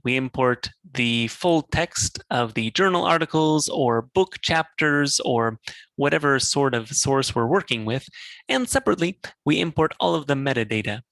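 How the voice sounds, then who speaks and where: A man presenting a software demo, calmly walking through each click of a screen share. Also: a little high, dry recording. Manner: relaxed, explanatory, walks through clicks step by step.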